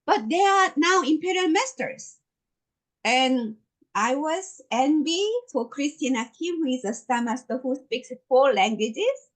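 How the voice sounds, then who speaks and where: A middle-aged Korean-accented woman presenting to a group of recruits, speaking slowly and deliberately. Her voice sits a bit high and swings widely in pitch as she stresses her points. She repeats phrases for emphasis. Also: lively intonation, rough recording. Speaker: network marketing presenter. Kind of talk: motivational business presentation.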